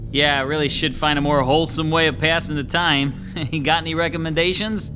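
Audio drama handyman, male actor: somewhat high voice, wisecracking, morbid streak, music behind. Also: steady tone, fairly quick, close to an everyday voice. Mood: breezy, sly. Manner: casual, joking, chuckling.

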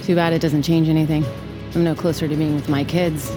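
Low-voiced despondent mother, acted by a woman. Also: flat delivery, a little fast, over music, lightly acted. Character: despondent mother separated from her children. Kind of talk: audio drama acting.

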